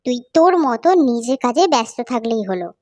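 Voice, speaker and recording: A woman's voice: a little high, expressive, fast, a little mellow, lightly acted. An actress playing a young woman doctor in a cartoon horror story, worried, irritable and dramatic. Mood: annoyed. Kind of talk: acted Bengali cartoon horror story dialogue.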